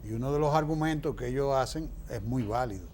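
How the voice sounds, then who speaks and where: A man's voice: mid-range voice, quick pace, a little mellow, slight background noise. A man with a Dominican Spanish accent giving opinionated, explanatory political answers as an interview guest, emphatic and fond of rhetorical questions.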